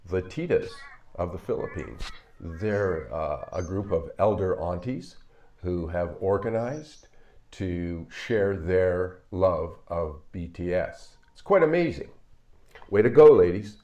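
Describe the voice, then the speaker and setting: Slow deep-voiced older man. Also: animated, a little mellow, dull audio. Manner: measured, halting with many fillers. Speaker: psychologist. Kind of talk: talking to camera in a video commentary. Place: home office.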